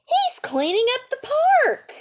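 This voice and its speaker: A woman voicing an excited young boy in a children's storybook, exclaiming eagerly. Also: very high voice, unhurried, voice pushed up, heavily acted. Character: excited young boy Charlie, cheering and announcing.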